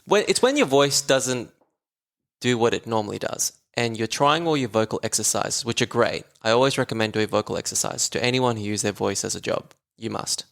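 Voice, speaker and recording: A man's voice: medium pitch, fairly quick, vocal fry, fairly bright tone. A man, a voice therapist talking as a guest in a casual podcast conversation, enthusiastic and chatty with frequent laughs.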